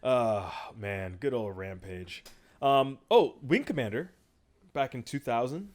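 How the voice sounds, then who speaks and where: A man's voice: mid-range voice, expressive, slow, a little mellow. A man co-hosting a podcast in casual chat, jokey and loose, with lots of filler words. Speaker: podcast co-host.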